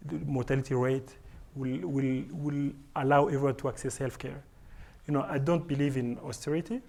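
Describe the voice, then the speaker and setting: A man with a somewhat high voice speaks English as a later-learned language. He answers from a conference panel in an earnest, halting way, repeating words as he searches for them. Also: mellow. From Africa. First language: not English; speaks French and Swahili. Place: conference hall.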